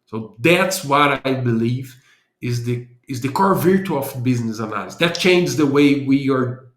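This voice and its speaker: A man's voice: mid-range voice, lively intonation, moderate pace. Brazilian-accented man in an echoey room. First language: Portuguese. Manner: earnest, explanatory, thinks aloud with restarts. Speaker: guest, business analysis consultant. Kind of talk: podcast interview.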